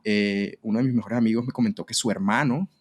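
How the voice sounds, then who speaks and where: A man from Colombia in his thirties, a podcast guest talking in a relaxed, hesitant way with frequent fillers and repeated words. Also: fairly low pitch, fast talker. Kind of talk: conversational interview.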